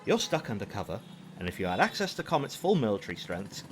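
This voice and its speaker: A man's voice: fairly low pitch, very animated, fast talker, a little hiss or hum. A man playing a cocky, callous hitman for hire in an audio drama, with a sarcastic, taunting manner. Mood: smug.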